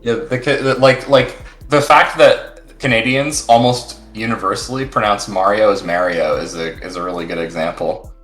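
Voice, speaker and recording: Bright-voiced American guy in an echoey room. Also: lowish voice, fairly even intonation, moderate pace, hardly any pauses, faint music behind. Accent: general American. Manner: rambling, restarts, chuckling. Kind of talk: casual live-stream chat. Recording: roomy echo.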